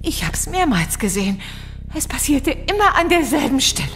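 Distraught mother for horror radio play: highish, very expressive pitch, halting and tearful. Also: soft background music, heavily acted. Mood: fearful, confiding. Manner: halting, tearful, dramatic delivery. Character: distraught mother, blackmailed by a sinister stranger. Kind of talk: German horror audio drama acting.

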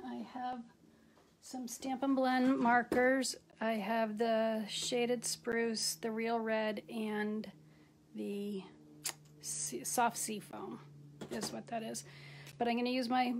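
A middle-aged woman casually demonstrating a craft step by step, speaking in an unhurried, halting way as she works with her hands. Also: fairly high pitch, level tone. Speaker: craft tutorial host. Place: indoors at a craft table. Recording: noisy home recording with clicks.

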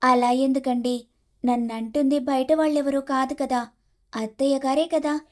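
A woman playing a gentle, patient young daughter-in-law in an animated moral story, speaking politely and respectfully. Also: high voice, unhurried. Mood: gentle, reassuring. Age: young adult. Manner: polite, soft-hearted.

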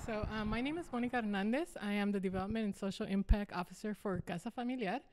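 A woman's voice: medium pitch, a little fast, darkish tone. A woman from southern California hosting an informal panel discussion, speaking into a handheld microphone. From San Ysidro, southern California. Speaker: panel moderator, community arts organization officer.

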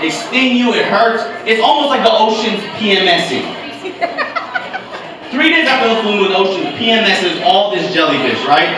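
A man's voice: high-pitched, lively intonation, moderate pace, background noise. A man from Hawaii telling crude stand-up stories on a comedy club stage. He talks loosely and keeps throwing asides to the crowd, into a handheld mic. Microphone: handheld mic, recorded by a camera far back in the room. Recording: echoey club audio from far off, loud crowd.

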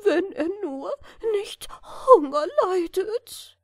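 A woman's voice: very high-pitched, expressive, slow, dry recording, clean studio sound, pitched above the performer's own voice, heavily acted. A woman voicing a doting fairy-tale queen, crying with worry for her son. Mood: tearful worry.

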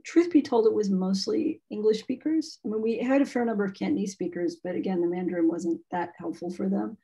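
A woman's voice: medium pitch, fairly quick, warm tone, slightly rough, poor audio. A woman speaking frankly and chattily as a guest on a video call about immersion schooling. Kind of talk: casual video-call talk.

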